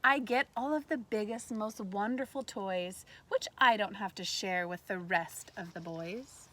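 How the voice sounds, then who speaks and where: A woman teacher reading a storybook aloud to kindergartners in an animated way, pausing to put questions to her listeners. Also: medium pitch. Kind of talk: read-aloud lesson for young children. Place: outdoors in a backyard tree fort among trees. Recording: faint outdoor ambient noise.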